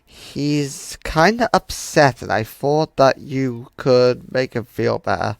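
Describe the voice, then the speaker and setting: A woman's voice: very deep voice, expressive, slow, gravelly, no echo, full character voice. A woman voicing a caring, protective robot guardian, reassuring yet firm, in a fan comic dub. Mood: concerned, firm.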